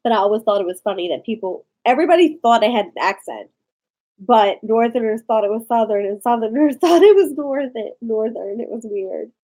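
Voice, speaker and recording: Rambling, chatty Maryland woman, mellow voice. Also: on the high side, animated, brisk. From Maryland, USA. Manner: rambling, chatty, talks to live viewers. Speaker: vlogger. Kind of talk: casual live-stream chat.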